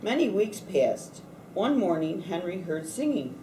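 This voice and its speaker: Woman, low pitch, soft-toned, measured storybook reading, roomy echo, faint noise. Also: big pitch swings, recorded from a distance. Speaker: storybook reader at a read-aloud event. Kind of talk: scripted picture-book read-aloud.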